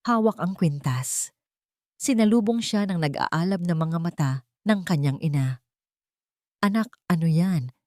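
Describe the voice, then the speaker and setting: A woman's voice: on the low side, unhurried, a little mellow, dry recording, studio quality. A woman reading a scripted romantic drama as a storyteller.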